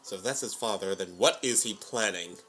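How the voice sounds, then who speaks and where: A man's voice: on the low side, a little fast, bright, forceful tone, faint music behind. A man hosting a reaction video, giving casual off-the-cuff comments.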